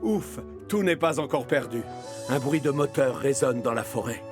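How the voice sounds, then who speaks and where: Male narrator, medium pitch, expressive storytelling, scripted children's tale, clear background music. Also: moderate pace.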